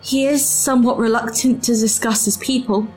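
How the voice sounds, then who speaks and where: A woman's voice: a little high, steady tone, moderate pace, darkish tone, soft background music, lightly acted. An actress in an audio drama playing an earnest, loyal woman from the distant past, formal, hesitant and thoughtful. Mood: troubled, doubtful. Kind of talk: full-cast audio drama acting.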